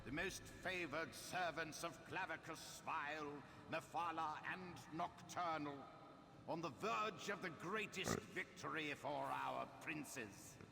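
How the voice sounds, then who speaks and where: An actor playing a sinister, confident cultist leader in a video game, gravelly and grandiose in manner. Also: male voice, fairly high pitch, a little slow. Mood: grandiose, assured. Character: sinister male cultist leader of a daedric alliance, confident and menacing. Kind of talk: video game villain acting. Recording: long cavernous reverb.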